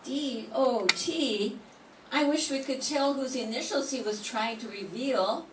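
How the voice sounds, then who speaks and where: A woman's voice: sits high, a little slow. An older woman reading the part of the dead man's young wife in an old-time radio mystery, earnest and insistent. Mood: earnest, defensive. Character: flustered young wife of the murdered man. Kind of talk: 1940s radio mystery acting. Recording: echoey room, distant mic, faint hiss.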